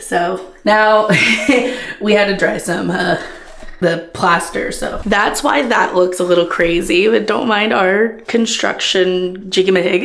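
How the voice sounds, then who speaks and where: A young woman talking casually to her phone camera for her vlog, rambling and laughing, her voice hoarse from a cold. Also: mid-range voice, fairly bright tone. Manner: chatty, rambling, laughs often. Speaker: book vlogger.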